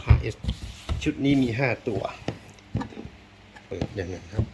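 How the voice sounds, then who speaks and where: A man's voice: fairly low pitch, animated, a little slow. A man giving casual hands-on unboxing commentary, thinking aloud as he explores, with polite phrasing. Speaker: unboxing video host. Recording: clear background noise, rustling and clicking.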